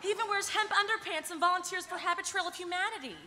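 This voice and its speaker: A woman's voice: extremely high pitch, fast. A young woman playing an earnest, fretful young artist, gushing and melodramatic, at a live table read. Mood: flustered. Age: young adult. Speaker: actress. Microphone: table microphone. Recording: echoey convention hall, faint noise.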